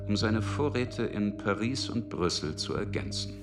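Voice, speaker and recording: A man's voice: fairly low pitch, expressive, measured pace, music in the background. A man narrating a radio drama from a script, precise and matter-of-fact.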